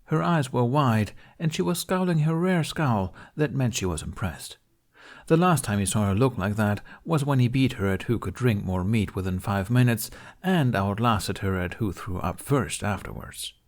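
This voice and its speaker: A man's voice: lowish voice, expressive, moderate pace. A man reading scripted fantasy fiction aloud as a narrator. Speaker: fan fiction reader.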